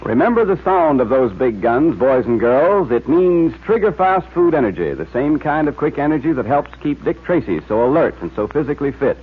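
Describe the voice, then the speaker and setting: A man's voice: medium pitch, big pitch swings, moderate pace, hardly any pauses, slightly rough. A man announcing and narrating in scripted 1940s radio style. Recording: muffled, tinny old radio recording.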